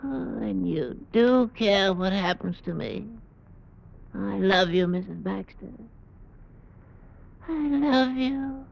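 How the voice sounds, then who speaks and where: A performer voicing a small, plaintive, defiant ventriloquist's dummy with a creaky voice in old-time radio drama. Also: medium pitch, lively intonation, takes it slowly, long pauses, full character voice. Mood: lovesick. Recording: muffled vintage radio recording.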